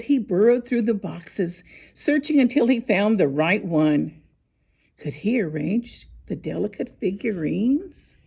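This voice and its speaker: A woman narrating a scripted children's story, with expressive, deliberate phrasing and dramatic pauses. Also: medium pitch, creaky, breathy edge. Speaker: storybook reader.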